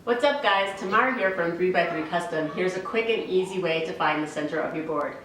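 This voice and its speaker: A woman giving a clear, step-by-step woodworking tip. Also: on the low side, a little fast, very echoey, distant mic, heavy background noise. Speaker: guest maker. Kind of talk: instructional tip.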